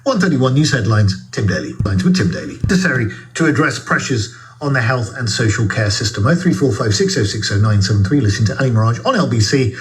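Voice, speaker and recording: A man's voice: mid-range voice, animated, quick pace. A man presenting talk radio, reeling off contact details and news handovers. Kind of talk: brisk station links with contact details.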